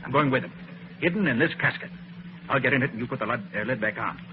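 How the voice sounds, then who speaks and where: Master detective, male actor, old radio mystery; brisk, bold, medium voice under heavy hiss. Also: mid-range voice, lightly acted. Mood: resolute. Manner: brisk, decisive. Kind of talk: old-time radio drama acting. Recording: muffled, very noisy old radio recording.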